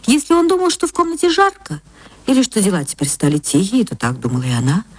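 A woman reading literary fiction as an actress narrator, expressive and theatrical, moving between hushed and swelling phrases. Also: mid-range voice, fast, breathy edge, dry recording.

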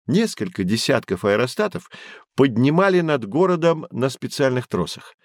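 Actor as animated male teacher guiding children. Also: medium pitch, normal speed, no room sound, clean studio sound, natural voice. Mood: patient, explanatory. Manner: clear didactic storytelling to children. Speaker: guide explaining history and technology. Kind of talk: educational audio drama, scripted explanation.

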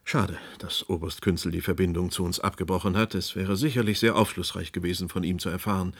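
A man's voice: sits low, fairly even intonation, a little fast, husky. A man playing an agitated, defiant news anchor with a sardonic, argumentative manner in a German radio play. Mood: bitter, subdued.

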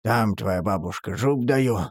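A storyteller voicing a grim, pale, toothless young villager who speaks low and heavy. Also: unhurried, slightly rough, no room sound, clean studio sound. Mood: grim, weary.

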